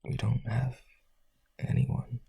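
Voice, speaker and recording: A man playing a lonely vampire aristocrat in a romantic audio roleplay, pleading earnestly and haltingly in a breathy, husky voice. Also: level tone, warm tone, dry recording, studio quality, full character voice. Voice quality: husky, breathy low voice, often near whisper.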